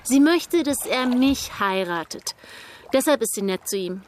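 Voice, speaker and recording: Reserved young gentlewoman, period radio drama. High voice, earnest, hesitant, quietly stubborn. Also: animated, unhurried, a little hiss or hum. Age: young adult. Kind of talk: radio drama dialogue, period literary adaptation.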